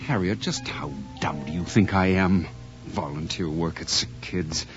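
An actor playing an obsessive, excitable radio tinkerer who mutters to himself and stammers when agitated, in a radio drama. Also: male voice, deep voice, unhurried, music in the background. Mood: bitter, sarcastic. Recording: dull audio with faint hum.

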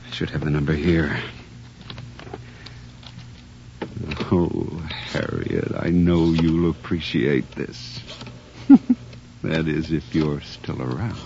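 An actor playing a doomed, sardonic husband who broods and schemes theatrically in a screen drama. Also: male voice, rumbling low, slow, vocal fry, slight background noise, exaggerated voice. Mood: brooding, sardonic. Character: doomed, scheming, sardonic husband plotting revenge on his wife. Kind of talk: dramatic screen acting, brooding monologue and dialogue. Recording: muffled soundtrack under loud music.

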